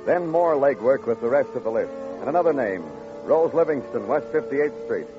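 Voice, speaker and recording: An actor playing a world-weary police detective, speaking in clipped sentences in an old radio crime drama. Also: male voice, mid-range voice, lively intonation, moderate pace, natural voice. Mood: brooding narration. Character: low-key, world-weary police detective who narrates his cases. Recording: dull old radio recording, music behind.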